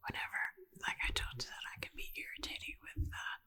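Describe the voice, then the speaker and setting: A woman whispering in a breathy, unvoiced voice, rambling casually and conversationally in an ASMR style. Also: bright, forceful tone. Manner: conversational, anecdotal, unhurried. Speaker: ASMR host.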